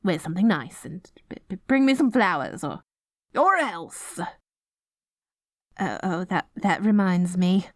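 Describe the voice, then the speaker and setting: A woman's voice: lowish voice, expressive, unhurried, pauses often, dry recording, studio quality. A woman playing a dorky, lovestruck evil queen in an ASMR roleplay, stammering and flustered between pompous villainous proclamations. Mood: awkward, eager. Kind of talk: ASMR roleplay audio drama.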